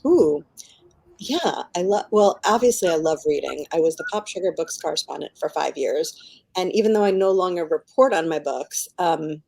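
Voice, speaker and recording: Chatty American woman, slightly low voice. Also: lively intonation, moderate pace, vocal fry, a little mellow, husky, low-quality recording. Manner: informal, peppers talk with "like". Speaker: author being interviewed. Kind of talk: casual chatty book interview.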